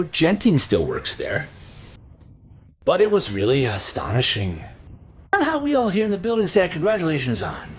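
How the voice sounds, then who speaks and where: An actor playing a gossipy tobacco shop keeper who rambles colloquially in a crime radio play. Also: male voice, mid-range voice, close to an everyday voice. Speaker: voice actor in a crime audio drama.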